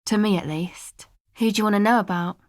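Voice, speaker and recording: A woman's voice: mid-range voice, a little fast, no echo, clean studio sound, natural voice. An actress voicing a laid-back, guarded young woman from a dance music crowd, speaking casual, slangy video game dialogue with an offhand manner. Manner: offhand, slangy, hesitant asides.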